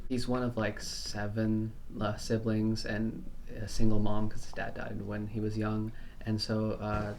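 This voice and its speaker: A teenage boy telling family and childhood stories in a casual, relaxed way, with lots of fillers. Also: lowish voice, a little hiss or hum. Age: teens. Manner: relaxed, filler-heavy, laughs at himself. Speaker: student interviewee. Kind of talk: casual storytelling.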